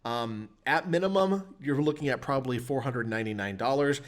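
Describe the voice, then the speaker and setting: A man's voice: mid-range voice, a little fast. A man co-hosting a podcast, chatting and commenting on news in a blunt, opinionated, casually sweary way. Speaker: podcast co-host.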